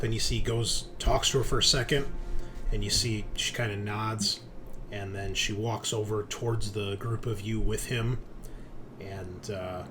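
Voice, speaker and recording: A man running a tabletop roleplaying game, narrating and explaining rules in a halting, think-aloud way. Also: fairly low pitch. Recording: clear background noise.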